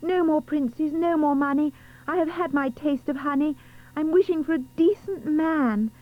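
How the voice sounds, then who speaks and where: Man putting on a shrill, feisty young storybook girl. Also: moderate pace, narrow, tinny audio. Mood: wistful, wary. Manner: bossy, exclamatory, shrieking demands. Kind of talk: storybook verse character voice.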